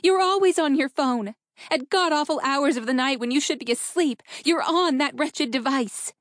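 A woman's voice: sits high, moderate pace, fairly bright tone, no echo, voice pushed up. In a dry recording, an anguished wife acted out by a woman narrator confronts her husband in audiobook dialogue. She is accusing and emotional, demanding the truth with open hurt and anger. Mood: screeching frustration.

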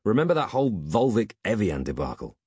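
A man's voice: lowish voice, sing-song, brisk, a little mellow, husky, dry recording, voice pushed up, heavily acted. A man playing a self-important, jumpy comic hero in an audiobook, whining, huffing and grumbling like a sulky teenager. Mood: insistent, sulky. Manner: whiny, huffy, grumbling.

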